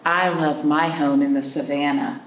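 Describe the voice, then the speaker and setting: A woman hosting a library storytime, reading picture books and chatting to young children in an animated way with simple questions. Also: low-pitched, moderate pace. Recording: roomy, distant mic with background noise.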